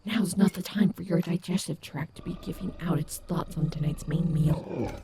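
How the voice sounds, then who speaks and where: A woman's voice: on the low side, fairly even intonation, moderate pace, creaky, noisy background. A woman playing a confident, protective animatronic wolf in an audio roleplay, sarcastic but tender toward her companion. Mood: alarmed.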